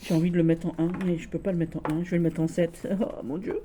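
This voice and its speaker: Woman, Lorraine accent, lowish mellow voice, halting enthusiast; light background noise. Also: little pitch movement. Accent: Lorraine French. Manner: halting and gushing, many restarts and fillers. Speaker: tarot deck reviewer. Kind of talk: casual tarot deck showcase.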